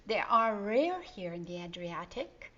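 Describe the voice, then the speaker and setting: A woman's voice: mid-range voice, sing-song, unhurried, fairly bright tone. A woman voicing a hearty, fond fisherman uncle in a children's story. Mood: fond, cheerful. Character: jolly seafaring uncle, a fisherman. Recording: dull lo-fi recording.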